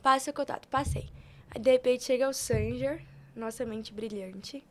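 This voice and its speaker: A young woman with a high voice and a Brazilian Portuguese accent. She tells stories in an informal, animated podcast style, slipping into quoted dialogue as she goes. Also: moderate pace. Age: young adult. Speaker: podcast guest, youth institute member. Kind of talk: casual storytelling on a podcast.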